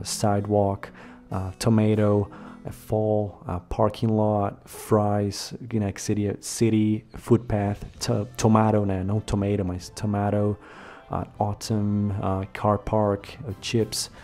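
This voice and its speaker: A Brazilian man in his thirties, telling his story in a relaxed, casual way with many filler tags and small self-corrections. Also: lowish voice, gravelly. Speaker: vlogger. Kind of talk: casual personal narration to camera.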